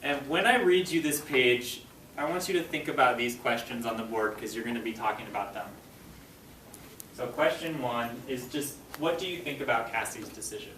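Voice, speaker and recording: A man's voice: medium pitch, moderate pace. A man working as an elementary school teacher, giving step-by-step instructions and reading aloud to a class. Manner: clear step-by-step directives, checking understanding. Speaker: fifth grade teacher. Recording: roomy classroom, distant mic, faint noise.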